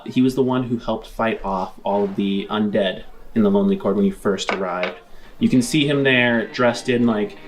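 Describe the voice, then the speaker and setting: Male game master, low-leaning voice, measured descriptive roleplay narration with music underneath. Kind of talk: improvised tabletop roleplay narration.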